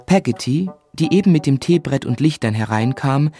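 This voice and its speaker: A man's voice: mid-range voice, lively intonation, moderate pace, dark tone, close to an everyday voice. An actor playing a grown man who recounts his childhood reflectively, as the narrator of a literary radio drama. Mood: wry storytelling. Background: music.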